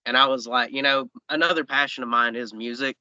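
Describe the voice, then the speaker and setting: Young man, late twenties, very bright forceful tone, loose rambling chat; dry dull mic. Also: mid-range voice, fairly even intonation, fairly quick, no room sound. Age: 20s. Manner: easygoing, rambling with fillers and restarts. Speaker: professional wrestler guest. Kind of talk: casual interview chat.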